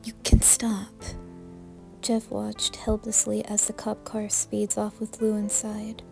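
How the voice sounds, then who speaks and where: A woman's voice: medium pitch, completely flat delivery, unhurried, vocal fry, mellow, faint music behind. A woman reading scripted horror narration as a storyteller.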